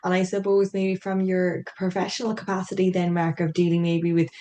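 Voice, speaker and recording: Low mellow-voiced woman interviewer. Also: completely flat delivery, a little fast, a little hiss or hum. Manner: long, hedging questions with repeated words. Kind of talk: conversational interview questions.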